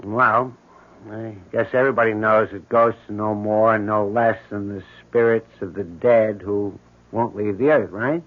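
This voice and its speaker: A man's voice: on the low side, fairly even intonation, unhurried, lightly acted. An actor playing an odd, morbid building handyman, matter-of-fact and brooding, in a 1970s radio drama. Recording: thin, tinny old radio broadcast.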